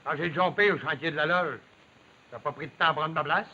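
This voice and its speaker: Forceful, resentful Quebec lumberjack. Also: male voice, fairly high pitch, a little fast, slightly rough, heavily acted. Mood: accusing, angry. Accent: Quebec French. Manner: blunt, accusing, colloquial rural speech. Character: Big William, proud, resentful, quarrelsome lumberjack. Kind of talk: 1950s French Canadian television drama acting. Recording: muffled, noisy old television soundtrack.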